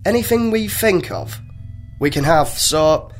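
Man playing a blustering, high-voiced starship captain. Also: lively intonation, a little slow, soft background music. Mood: distracted, eager. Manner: blustering, informal. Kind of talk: comedy radio play acting.

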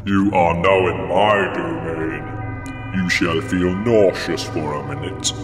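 A man's voice: low voice, fairly even intonation, slow pace. A man playing a pompous, self-important godlike creator in a comedy radio play. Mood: commanding. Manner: pompous, grandiose, hesitating. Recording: long reverb, music behind.

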